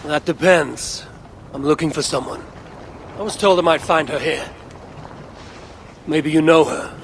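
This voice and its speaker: A proud Norse god for a video game cutscene. The voice is gravelly and a little high for a man. He speaks with measured, wry command, over faint background noise. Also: fairly bright tone. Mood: wry, taunting. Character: proud, dryly witty Norse god warrior, male.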